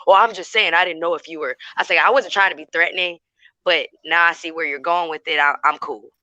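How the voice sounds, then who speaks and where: A man's voice: on the high side, fast talker, no room sound. A man in casual chat who tells stories with energy and acts out what people said. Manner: animated storyteller, acts out quotes. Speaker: co-host.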